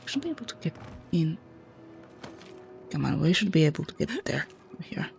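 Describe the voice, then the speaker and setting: A woman with a hoarse, low voice, muttering and chuckling to herself as she comments on a video game. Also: very animated, moderate pace, darkish tone, soft background music. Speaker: streamer. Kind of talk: casual gameplay commentary.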